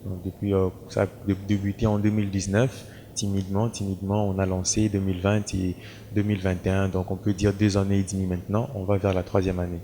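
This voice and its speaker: A man's voice: deep voice, little pitch movement, quick pace, mellow. A man representing a company who answers interview questions hesitantly, with many fillers. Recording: reverberant room with faint noise.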